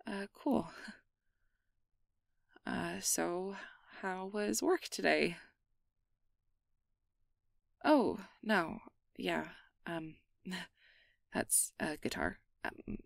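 A woman's voice: lowish voice, big pitch swings, drawn-out words, vocal fry, long pauses, dry recording, clean studio sound, full character voice. A woman playing an awkward, guarded but secretly tender young changeling in an ASMR roleplay, hesitant and stammering as she downplays things. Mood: awkward, casual.

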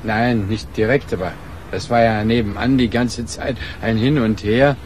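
An actor playing a chatty but guarded suburban neighbour in a radio crime drama, speaking in a halting, homely way. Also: male voice, on the low side, steady tone, natural voice. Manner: halting, homely, adds tag questions. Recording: outdoor ambience, traffic noise behind.

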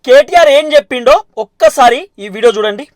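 Very high-pitched, fast-talking Telangana man. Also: expressive, no room sound, clean studio sound. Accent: Telangana Telugu. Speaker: news presenter and commentator. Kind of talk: informal political news commentary.

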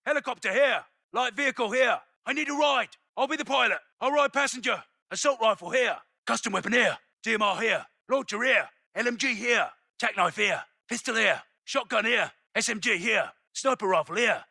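Forceful, gravelly British soldier. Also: male voice, extremely high pitch, normal speed, hardly any pauses, no echo, clean studio sound, full character voice. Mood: low, controlled. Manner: clipped, commanding, terse radio-style callouts. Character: tough, focused British special forces soldier. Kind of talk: video game military combat barks.

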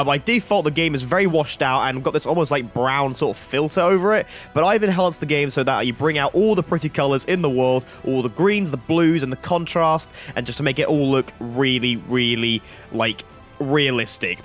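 Croaky-voiced man explaining casually. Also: mid-range voice, a bit bright. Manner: chatty, lots of fillers like "like" and "uh". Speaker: flight sim YouTuber. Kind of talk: casual tutorial voice-over.

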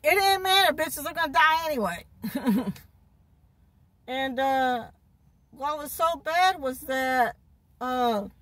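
A woman's voice: sits high, lively intonation, slow, long pauses, fairly bright tone, no room sound. A middle-aged woman telling a rambling, profane story in a parked car, laughing and repeating herself, recorded on a phone at arm's length. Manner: swears heavily, laughs often, repeats herself, gestures a lot. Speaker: vlogger. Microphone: phone camera at arm's length.

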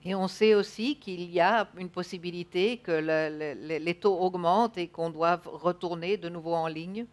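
A woman's voice: on the low side, moderate pace, few pauses. A woman in her thirties interpreting a sermon live, feeling for her words at times. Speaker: church interpreter. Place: church stage, indoors.

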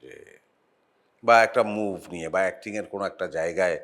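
A middle-aged man, an actor guesting on a talk show, talking in a reflective, halting way, often pausing on "ah" and letting his phrases trail off softly. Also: low-pitched. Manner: reflective, halting with frequent "ah" pauses. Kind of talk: casual interview chat.

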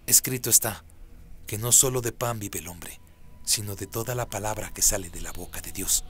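A man's voice: lowish voice, normal speed, fairly bright tone, faint music behind, lightly acted. A man voicing a steadfast young holy man in a radio play, firm and solemn.

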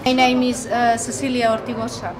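A woman from Spain with a Spanish accent, answering casually in halting English. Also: fairly high pitch, level tone, crowd noise. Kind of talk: casual interview to camera. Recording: clear cafe background noise.

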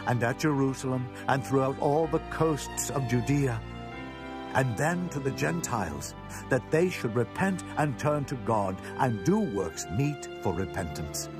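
A man's voice: medium pitch, lively intonation, a little slow, natural voice. A man playing an earnest, impassioned prisoner defending himself in a solemn, declamatory scripture drama. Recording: prominent music bed under voice.

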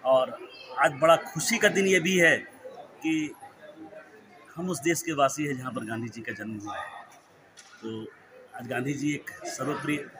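A man's voice: medium pitch, noisy background. A man in his forties gives an interview into a handheld mic at an indoor town hall event, talking earnestly and at length, with English phrases mixed in. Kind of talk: news interview. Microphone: handheld reporter mic. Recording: clear hall ambience behind.

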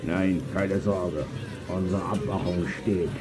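A man's voice: low-pitched, steady tone, slow, crowd noise. A man playing a surly, threatening watchman who guards his turf, in a fan audio drama. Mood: curt.

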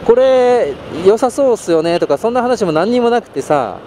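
Loud road and engine noise surrounds a man with a fairly high, very lively voice. He rambles on casually through a helmet intercom as he rides. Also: normal speed. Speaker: motovlogger. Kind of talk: casual chat over helmet intercom while riding. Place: outdoors on a motorbike on a night expressway. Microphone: helmet intercom headset.

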